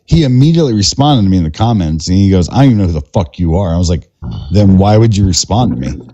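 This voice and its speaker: A man's voice: low voice, animated, fast talker, warm tone. Crude, taunting man on a lo-fi stream. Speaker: debate panelist. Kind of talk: heated live-stream debate.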